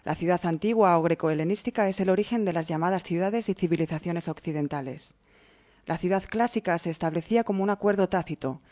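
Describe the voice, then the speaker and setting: A narrator reading a scripted documentary in an even, measured way. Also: mid-range voice, lo-fi sound.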